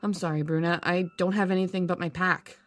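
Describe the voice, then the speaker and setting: A woman's voice: fairly low pitch, fairly even intonation, brisk, soft background music, natural voice. A woman playing an earnest, flustered young circus adventurer in an audio drama, self-deprecating and a little hesitant. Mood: flustered, dejected. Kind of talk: audio drama character acting and narration.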